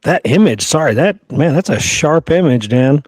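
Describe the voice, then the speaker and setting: A man's voice: fairly high pitch, lively intonation, normal speed, a little mellow, dry recording, clean studio sound. A man co-hosting a show in informal, easygoing conversation. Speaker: co-host. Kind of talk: casual chat.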